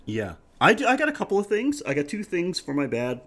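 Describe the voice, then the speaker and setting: A man's voice: high-pitched, huge pitch swings, brisk, a bit bright. A man hosting a podcast, chatting casually about film in an enthusiastic, rambling way. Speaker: podcast host. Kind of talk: casual film review chat.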